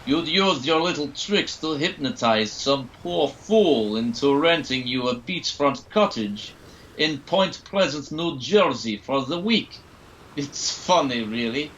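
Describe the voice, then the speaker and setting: An actor playing a menacing, mocking doctor who speaks theatrically and relishes cruel revelations in an audio drama. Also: male voice, medium pitch, animated, slow, fairly bright tone, a little hiss or hum, poor audio.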